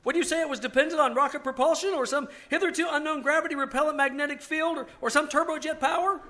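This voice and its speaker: A man's voice: very high-pitched, fairly even intonation, fast, bright, forceful tone. A man playing an eager, polite and wordy young retired Air Force colonel in old-time radio comedy style. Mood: earnest, proud. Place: live stage performance at a winery.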